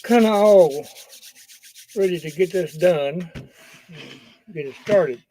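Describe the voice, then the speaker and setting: A man talking casually and haltingly as a livestream host, in a folksy, unscripted way. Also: high-pitched, dark tone. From Kentucky, US. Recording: dull lo-fi sound, roomy echo.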